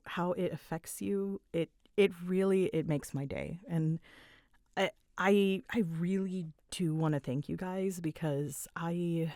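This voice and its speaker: A woman's voice: on the low side, no room sound, studio quality. A young woman chatting casually as she answers listener questions, informal and self-deprecating, with a giggly manner. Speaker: voice actor and channel creator. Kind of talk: casual solo Q&A chat to listeners.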